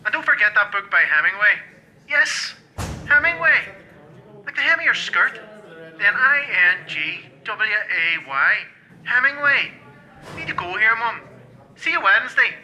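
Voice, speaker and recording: A man acting a Northern Irish prisoner on a call to his mum in a radio drama. His voice is high and very sharp, with a distant telephone sound, and he calls his words out plainly. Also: very animated, unhurried, long pauses, dull audio, full character voice. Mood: insistent, affectionate. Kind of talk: radio play dialogue.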